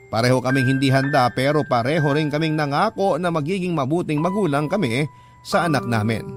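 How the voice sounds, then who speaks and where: A man playing a young letter sender, narrating his love story in a sincere, measured, scripted radio-drama style. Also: fairly high pitch, natural voice. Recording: prominent music bed under narration.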